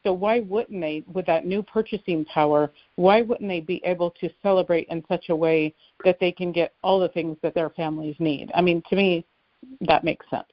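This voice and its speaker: Low-voiced woman calling in, muffled line. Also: fairly even intonation, moderate pace, no room sound. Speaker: caller to a conference call. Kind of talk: casual explanatory call-in talk. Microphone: telephone conference line. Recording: muffled, dry phone conference line.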